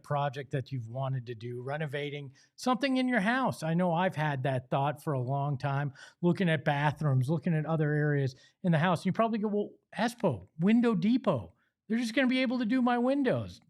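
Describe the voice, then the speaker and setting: A man's voice: a little high, very animated, a little fast. A man with an American accent hosting a sports show, speaking in an emphatic, conversational way. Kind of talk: opinionated sports talk and host-read ads.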